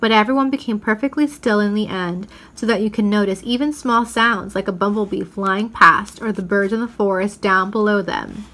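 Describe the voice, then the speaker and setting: A woman's voice: medium pitch, moderate pace, few pauses, a bit bright, low-quality recording. A woman narrating a children's story as a storyteller, reading expressively from the text.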